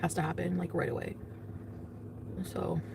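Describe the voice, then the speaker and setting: A woman's voice: on the low side, expressive, normal speed, creaky. Vlogger, woman, rambling and laughing, off-mic with faint noise behind. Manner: rambling, lots of fillers, laughs often. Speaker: vlogger in the clip being reacted to. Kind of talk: casual vlog and live-stream chat. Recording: distant, noisy clip audio.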